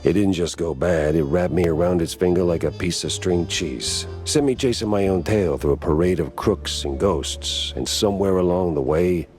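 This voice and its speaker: Guy doing a deep tired wisecracking detective mouse, noir game style, dry tough talk, some music behind. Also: normal speed, hardly any pauses, darkish tone, natural voice. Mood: world-weary. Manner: wry, sardonic, slangy tough-guy talk. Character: world-weary, wisecracking hard-boiled male mouse private detective. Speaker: detective protagonist and narrator. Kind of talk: 1930s noir detective narration.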